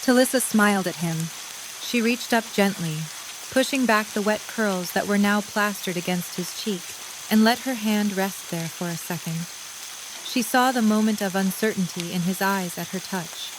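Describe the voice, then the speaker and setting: A woman's voice: mid-range voice, moderate pace. A woman narrator reading scripted story prose for an audio drama. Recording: clear rain noise behind. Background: rain ambience.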